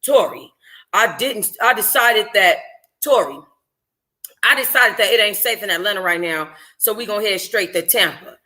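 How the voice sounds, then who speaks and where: Book club host: woman, bright forceful voice, informal and sassy, reading like a casual livestream. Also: mid-range voice, animated, moderate pace.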